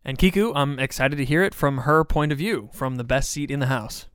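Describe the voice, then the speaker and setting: A man's voice: medium pitch, a little fast, fairly bright tone, smooth, no echo, clean studio sound. A man hosting an interview podcast, reading introductions and putting questions to a guest. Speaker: podcast host.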